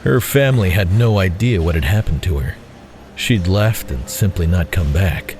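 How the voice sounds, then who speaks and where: A man's voice: sits low, lively intonation, moderate pace, darkish tone, a little hiss or hum. A man reading scripted dramatic narration as the narrator of an audio story.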